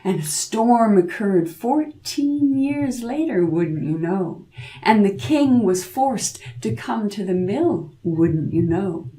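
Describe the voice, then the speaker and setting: A woman's voice: medium pitch, expressive, slow, dark tone, low-quality recording. A woman storyteller narrating a folk tale dramatically, drawing out words and repeating phrases for effect.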